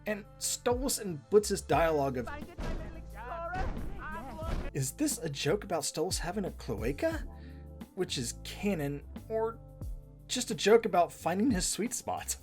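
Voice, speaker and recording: A man's voice: high-pitched, big pitch swings, measured pace, long pauses, over music. A man giving scripted back-and-forth commentary as co-host of a fan show.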